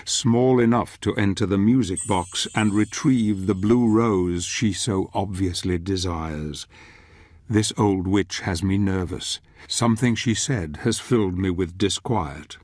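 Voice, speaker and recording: An older man playing a grave, brooding narrator in a fantasy video game, speaking in a measured, foreboding way. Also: low voice, darkish tone, no room sound. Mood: grave, uneasy. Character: grave, brooding male narrator, wary and ominous companion. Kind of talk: scripted dramatic game narration.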